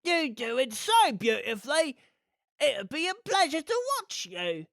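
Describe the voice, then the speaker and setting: A woman's voice: high-pitched, big pitch swings, unhurried, creaky, dry recording, studio quality, pitched above the performer's own voice, full character voice. A woman voicing a simple male henchman in a storybook, whiny, fawning and ingratiating.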